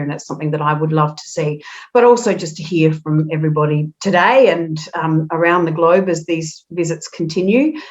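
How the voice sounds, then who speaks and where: A woman introducing herself with earnest passion. Her voice is very low for a woman, and the video call audio sounds dull and muffled. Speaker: guest nurse educator.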